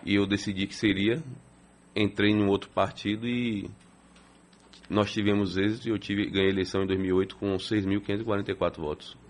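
A man's voice: on the low side, level tone, quick pace. A man, answering interview questions plainly and steadily as a city council president. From Salvador, Brazil. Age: 50s.

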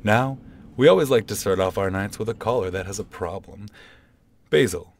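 A man playing a smooth, self-assured late-night love-advice radio DJ in a comedy radio play, with a theatrical, pompous delivery. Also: on the low side, animated, normal speed, a little hiss or hum. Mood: suave. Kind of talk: comedy radio play in old-time radio style.

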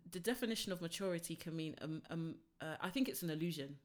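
A woman's voice: lowish voice, expressive, fast. A young British woman, chatting casually and reflectively as a podcast co-host, with plenty of fillers and frequent laughter. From Britain. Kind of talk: casual chat.

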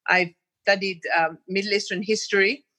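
A woman in her fifties from Israel talks as a guest diplomat, picking her words with care and often restarting her sentences. Her voice is bright and forward. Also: mid-range voice, steady tone, moderate pace. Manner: thoughtful, searching for words with many restarts. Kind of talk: podcast interview.

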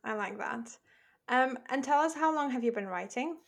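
A woman hosting a podcast, with a slightly high voice. She asks her guest questions in an easy, chatty manner. Her delivery is loose and unhurried. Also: animated, vocal fry. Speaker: podcast host. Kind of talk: casual interview.

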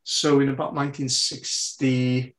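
A man in his sixties whose first language is French, answering interview questions in a thoughtful, hesitant way with many pauses and restarts. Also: medium pitch, flat delivery, a bit bright, echoey room. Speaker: interview guest. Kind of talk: relaxed conversational interview.

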